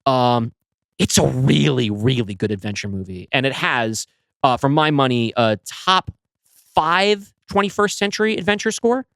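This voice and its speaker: A man's voice: mid-range voice, animated, moderate pace, vocal fry, fairly bright tone, no room sound. A man co-hosting a casual podcast, talking enthusiastically and swearing now and then. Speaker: podcast co-host and writer.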